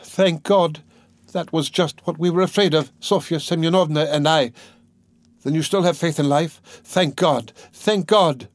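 A man's voice: mid-range voice, normal speed, darkish tone, no room sound, voice pushed up, close to an everyday voice. A man voicing an anxious, devoted young woman, an earnest and distressed sister, in a pleading, emotional way for an audiobook. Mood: relieved, fervent.

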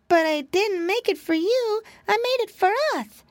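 High squealing little pig boy, done by a woman, pleading, storybook, dry close voice. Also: animated, unhurried, dry recording. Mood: frightened. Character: frightened little piggy boy.

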